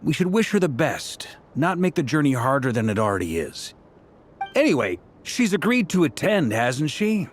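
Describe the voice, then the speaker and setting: An actor playing an easygoing, confident grand master knight in a video game, with a breezy colloquial manner. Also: male voice, on the high side, very animated, normal speed. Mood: reassuring. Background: music.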